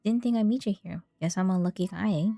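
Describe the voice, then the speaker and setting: Woman reading as a mellow, grateful male guard. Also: medium pitch, quick pace, vocal fry, no echo, faint music behind. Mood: friendly, grateful. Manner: friendly, grateful, read off screen with stumbles. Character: friendly, grateful male guard, modest lucky survivor. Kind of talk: reading game dialogue aloud.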